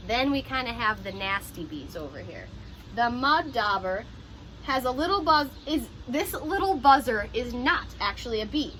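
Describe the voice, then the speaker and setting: Woman, high voice, teacher doing a children's read-aloud with simple explanations, noticeable background noise. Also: fairly bright tone, rough recording.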